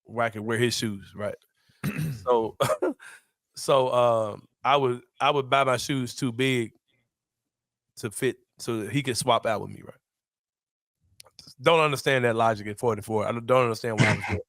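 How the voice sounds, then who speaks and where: A man in his forties from Memphis, hosting a sports podcast in a relaxed, slangy, laughing way. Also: medium pitch, moderate pace, pauses often, no echo, clean studio sound. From Memphis, USA. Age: 40s. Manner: laid-back, slangy, laughs often. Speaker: podcast host. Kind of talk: casual sports podcast chat.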